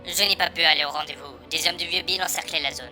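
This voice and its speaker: An actress playing a mysterious informant who gives orders in a formal, clipped, commanding way in an audio drama. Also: female voice, low-pitched, quick pace, sharp tone, soft background music. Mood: cool. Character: mysterious informant calling with instructions.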